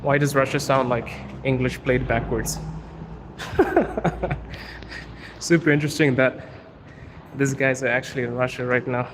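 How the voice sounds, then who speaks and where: A man from Zimbabwe chatting casually on a livestream, answering viewers' questions in a relaxed way. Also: mid-range voice, unhurried, long pauses. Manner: relaxed, chatty, says bro and dude. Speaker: vlogger answering live chat. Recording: street noise with passing cars.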